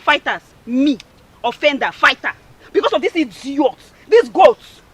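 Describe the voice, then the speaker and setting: An actress playing a sharp-tongued young village woman who mocks her rival. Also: sits high, expressive, a little slow. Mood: indignant. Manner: mocking, taunting. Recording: loud background noise.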